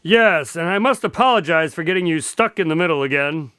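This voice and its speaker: An actor playing a polite, long-suffering doctor in a radio drama, often incredulous and hesitant. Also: male voice, on the high side, animated, fairly quick, sharp tone, smooth, no echo. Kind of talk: scripted radio drama acting.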